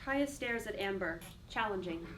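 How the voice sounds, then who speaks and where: A woman's voice: mid-range voice, lively intonation, moderate pace, a bit bright. A woman reading stage directions as a scripted dramatic narrator. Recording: distant mic, faint background noise.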